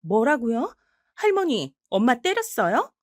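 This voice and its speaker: A woman's voice: a little high, expressive, moderate pace, mellow, dry recording, studio quality, voice pushed up. A woman voicing a grown, married daughter who talks back sharply and defiantly.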